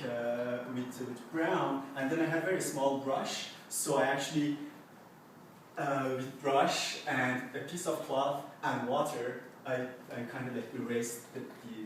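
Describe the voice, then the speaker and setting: A young man whose first language is Farsi speaks about his own paintings with no notes, halting and loose, full of filler words and restarts. His voice sits at an average pitch for a man. He is heard from a distance in a very echoey exhibition room, with faint room noise behind him. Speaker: artist presenting his paintings. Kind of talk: unscripted gallery talk. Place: art exhibition space indoors.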